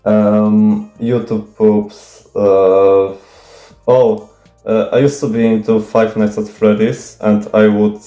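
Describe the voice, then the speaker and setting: A man's voice: lowish voice, flat delivery, slow, warm tone. A young man, a native Polish speaker, nervously answering viewer questions off the cuff, stuttering and restarting often. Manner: hesitant, stuttering, many fillers and restarts; nervous, self-conscious, casual swearing. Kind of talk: unscripted Q&A monologue. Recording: echoey room, faint music.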